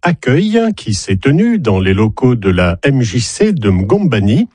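A man's voice: mid-range voice, very animated, unhurried, a little mellow, breathy edge, dry recording, clean studio sound. A man presenting a regional radio news bulletin in a scripted reading style, with wry, ironic asides.

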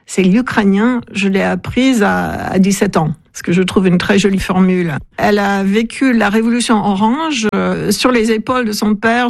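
Woman guest author, gravelly voice, pauses and hesitates as she thinks, dry sound. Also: medium pitch, no echo. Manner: thoughtful, with frequent hesitations. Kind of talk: recounting a story in a radio interview.

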